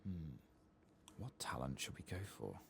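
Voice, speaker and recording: A soft-spoken man hosting an ASMR gaming video, thinking aloud casually in a hushed voice as he plays. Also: extremely low pitch, sing-song, unhurried, gravelly, a little hiss or hum.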